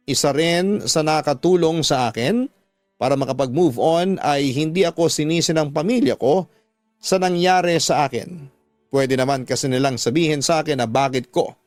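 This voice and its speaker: Male narrator, Filipino accent, slightly high voice, dry close sound, music bed, dramatic scripted storytelling. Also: a little mellow, no echo. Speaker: radio drama narrator reading a listener's letter.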